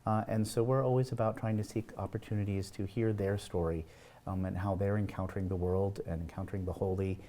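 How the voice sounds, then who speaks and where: A man's voice: sits low, fairly even intonation, fairly quick, mellow, a little hiss or hum. A man giving explanatory, somewhat hesitant interview answers as a church representative.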